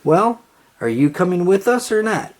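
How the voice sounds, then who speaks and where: A reader doing a bossy little girl, very animated. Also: medium pitch, normal speed, voice pushed up, close to an everyday voice. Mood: exasperated. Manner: bossy, emphatic. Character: bossy, exasperated young girl, loud and scornful.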